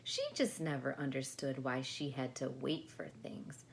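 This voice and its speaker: A woman reading a children's picture book aloud, with expressive narration and casual explanatory asides. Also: a bass voice, unhurried, gravelly. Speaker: storybook reader. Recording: faint background noise.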